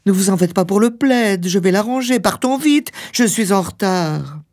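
A man voicing an anxious, wealthy older wife in a storybook, with shrill, imploring, frantically polite speech. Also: animated, moderate pace, a little mellow. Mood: urgent pleading.

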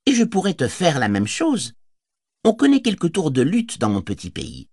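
Guy putting on a high cheeky hobbit lad, teasing, voice bouncing all over, kinda husky. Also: wildly swooping pitch, moderate pace, darkish tone, no echo, studio quality, heavily acted. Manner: playful, teasing, mock-boastful. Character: bold cheeky young male hobbit. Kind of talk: audiobook character voice.

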